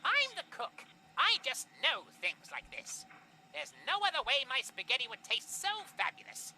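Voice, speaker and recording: A woman's voice: high-pitched, very animated, moderate pace, pushed and bright, a little hiss or hum, exaggerated voice, poor audio. A woman in a fan audio drama voicing a naive, boastful male skeleton cook with a pompous, theatrical delivery. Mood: proud, indignant.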